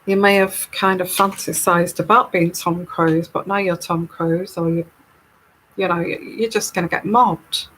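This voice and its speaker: A woman with a calming, soothing voice, hosting a live tarot stream and chatting casually as she reads viewer comments. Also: on the low side, slight background noise, poor audio. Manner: unhurried, chatty and rambling.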